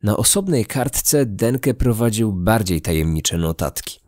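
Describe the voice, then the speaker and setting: Low-voiced man narrating true crime. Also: lively intonation, measured pace, darkish tone, no room sound, soft background music. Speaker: podcast narrator.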